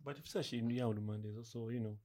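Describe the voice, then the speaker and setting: A man's voice: medium pitch, fairly even intonation, fast talker, warm tone. A young Zambian man with a Zambian accent chatting casually on a podcast and thinking aloud with fillers. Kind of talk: casual chat.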